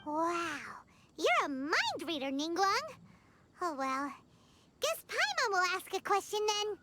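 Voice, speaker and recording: A woman voicing a small, childlike, excitable fairy companion who chatters eagerly in a video game. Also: very high-pitched, slow pace, noisy background, exaggerated voice. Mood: impressed, cheeky. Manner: speaks of herself in the third person, exclamatory.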